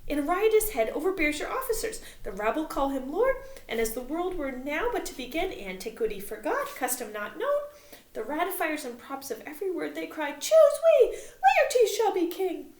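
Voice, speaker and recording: A woman's voice: high-pitched, big pitch swings, gravelly, roomy sound, heavily acted. A woman doing a frantic, breathless messenger. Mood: alarmed. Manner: urgent, loud, hurried.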